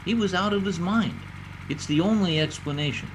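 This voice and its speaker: A man's voice: high voice, normal speed, a little hiss or hum, natural voice, rough recording. A man playing an earnest, protective state trooper in an old time radio drama, dramatic and reassuring, recorded over a video call.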